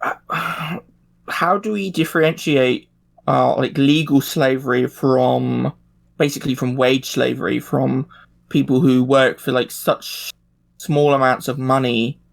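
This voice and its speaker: A man's voice: medium pitch, fairly even intonation, slow, gravelly. A man chatting casually as a podcast panelist, hesitant and thinking aloud as he goes. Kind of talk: casual discussion.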